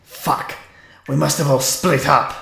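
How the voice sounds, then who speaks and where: Creaky, sardonic fantasy soldier played by a man. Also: a little high, fairly even intonation, takes it slowly, sharp tone, exaggerated voice. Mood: grim. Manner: blunt, sarcastic. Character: gruff, sardonic human vampire-hunting soldier. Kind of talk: fantasy audio drama acting. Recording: echoey, slightly noisy.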